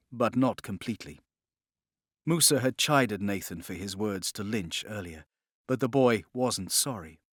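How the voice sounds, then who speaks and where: A man reading a novel as scripted dramatic narration, in a measured, expressive storytelling manner. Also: fairly low pitch, no room sound, clean studio sound. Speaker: audiobook narrator.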